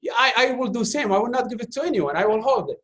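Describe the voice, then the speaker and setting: A man in his thirties, a native Arabic speaker from Iraq, answering interview questions in halting English full of fillers and restarts. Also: very high voice, animated, a bit bright. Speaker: interview guest, doctoral student. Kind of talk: casual Q&A talk.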